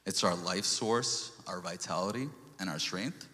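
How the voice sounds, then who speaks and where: A man's voice: deep voice, a little slow, bright, forceful tone, in a large hall. A man from Sri Lanka giving an earnest church testimony, quoting scripture and stumbling over restarts. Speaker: lay speaker giving a testimony.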